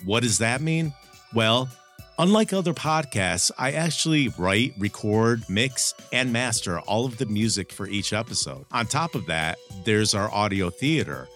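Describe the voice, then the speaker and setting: A man's voice: on the low side, animated, a little slow, fairly bright tone. A man hosting a podcast in casual chat, with a wry, blunt manner. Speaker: podcast host. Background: background music.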